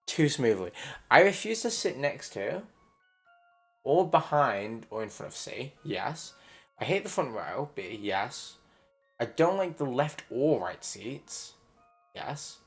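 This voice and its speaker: A man talking to himself through a puzzle game in a low-key, muttering way, reading clues out and working them through. His pitch is middling but moves around a lot, with faint game music under him. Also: gravelly. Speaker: game player. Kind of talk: let's play commentary.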